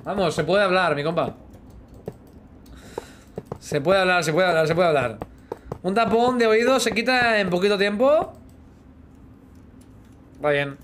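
A man's voice: high-pitched, expressive, a little slow, pauses often, a bit bright, slight background noise. Joking Spanish man streaming a game. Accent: Spain Spanish. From Spain. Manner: joking, chats with viewers while playing, hums sound effects. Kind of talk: casual live gaming chat. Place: small room indoors. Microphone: headset.

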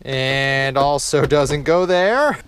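A man's voice: medium pitch, lively intonation, very slow, a bit bright, a little hiss or hum. A man giving casual, hands-on vlog commentary with dry, sarcastic humour and the odd swear word. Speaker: vlogger doing a car installation. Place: in and around a parked car in a driveway.

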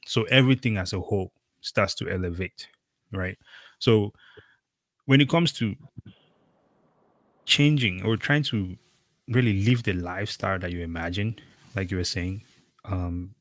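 Low-voiced talkative man on a video call. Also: unhurried, pauses often, a bit bright, no echo. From Cameroon. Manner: rambling, emphatic, many fillers. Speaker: podcast host. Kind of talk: casual conversational interview.

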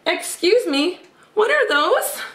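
Woman putting on an indignant, high-pitched talking pizza slice. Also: sing-song, slow, a bit bright, roomy sound, exaggerated voice. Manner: exaggerated, outraged exclamations.

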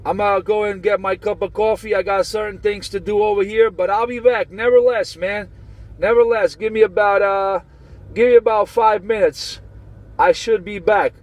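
A man keys up on his CB radio. His voice sits fairly high, and he talks in loose slang, swears freely and trades call signs. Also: steady tone, moderate pace. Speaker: CB radio operator. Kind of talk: casual CB radio chatter. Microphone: radio transceiver mic. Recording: transmitted over CB radio.

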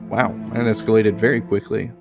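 A man's voice: on the low side, level tone, brisk, vocal fry. A man giving casual, understated let's play commentary, musing aloud as he plays. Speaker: gaming video host. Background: game music behind the voice.

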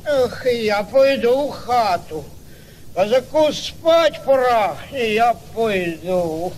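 An actor playing a merry, tipsy village Cossack who rambles, exclaims and boasts in a radio play. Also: male voice, very high voice, lively intonation, slow, slight background noise, heavily acted, poor audio. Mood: boastful, blustering. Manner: rambling, exclaiming, repeating himself. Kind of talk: theatrical radio play acting.

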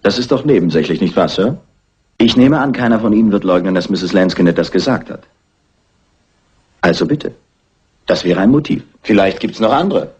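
An actor playing a stern, persistent police inspector in a dubbed classic crime film, dryly polite and matter-of-fact. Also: male voice, fairly low pitch, lively intonation, normal speed, pauses often, darkish tone, slightly rough. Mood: stern, probing. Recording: muffled old film soundtrack.

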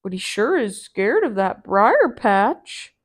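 A dim, doubtful bear from a children's storybook, put on by a woman. He speaks in a plodding, emphatic way with a slow pace. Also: mid-range voice, big pitch swings.

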